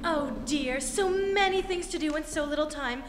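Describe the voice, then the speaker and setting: A woman's voice: high-pitched, moderate pace, over loud music, close to an everyday voice. An actress playing a flustered, genteel society mother in a stage re-enactment of an old radio comedy. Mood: flustered, chiding. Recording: echoey hall, faint noise.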